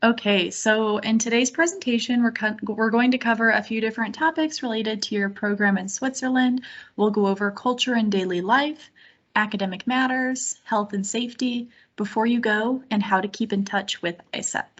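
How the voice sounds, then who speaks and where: A woman, a student services officer, giving a clear, scripted welcome to an orientation. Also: fairly high pitch, moderate pace, a bit bright. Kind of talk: presentation. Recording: muffled lo-fi audio.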